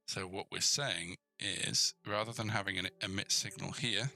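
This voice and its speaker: A man with a fairly low, strikingly bright and forceful voice talks through a programming tutorial. He thinks aloud in an informal way, addressing the viewer directly and often checking that things make sense. Music plays behind him. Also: steady tone, moderate pace, creaky. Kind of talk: casual screencast coding tutorial, thinking aloud.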